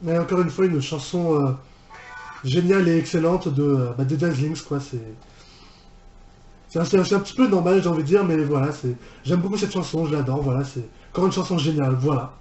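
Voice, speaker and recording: Male reaction host, higher-pitched and soft-edged, halting and informal, recorded in a roomy space. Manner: halting, repeats and rephrases himself. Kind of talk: casual unscripted reaction commentary. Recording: roomy echo, music behind.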